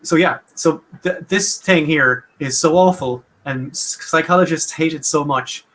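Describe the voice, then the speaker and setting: A man with an Irish Munster accent, talking through a topic on a casual podcast, quick and wry. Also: fairly high pitch, a bit bright, a little hiss or hum. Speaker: podcast host. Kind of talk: casual explanatory chat.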